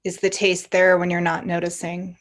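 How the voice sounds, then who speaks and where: A woman leading a guided meditation as a teacher, giving gentle, encouraging instructions with pauses. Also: fairly low pitch, completely flat delivery.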